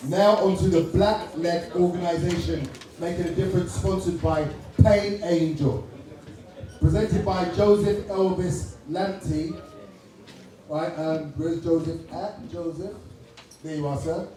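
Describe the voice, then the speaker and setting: High-voiced Jamaican man compering an awards night. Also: steady tone, warm tone. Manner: brisk, chatty, joking with the crowd. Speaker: awards host. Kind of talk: live event compering. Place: large hall at a live event. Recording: echoey hall, taken from far off. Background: noisy crowd.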